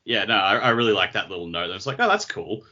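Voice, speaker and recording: A man's voice: on the low side, fast, pushed and bright, lo-fi sound. A man co-hosting a podcast, chatting casually with colloquial commentary. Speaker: podcast co-host. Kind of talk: casual chat.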